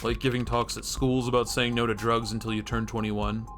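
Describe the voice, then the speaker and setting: A man performs a world-weary male police officer in a cyberpunk noir game. The delivery is blunt and wry at a middling pitch, explaining things matter-of-factly over music. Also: level tone, brisk, a bit bright, lightly acted. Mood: wry, explanatory. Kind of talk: reading noir game dialogue aloud.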